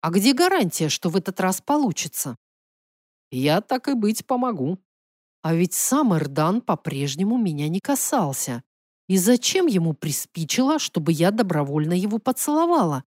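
Woman reading a novel audiobook, dramatic n emotive, pitch moves a lot, dry close sound. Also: big pitch swings, normal speed, a little mellow, dry recording. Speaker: audiobook narrator. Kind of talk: expressive first-person fiction narration.

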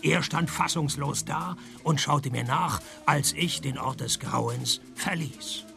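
An actor playing a sardonic, hard-drinking male private detective in a radio play, deadpan in wit. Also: fairly high pitch, takes it slowly, creaky, over music, exaggerated voice. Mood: wry narration. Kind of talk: scripted noir parody narration and dialogue.

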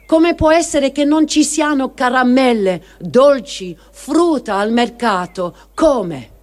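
A performer reading a first-person diary as a grieving, guilt-ridden adult child, in a deliberate dramatic style with emphatic pauses. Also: sits high, lively intonation. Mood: sorrowful. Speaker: reader of testimony.

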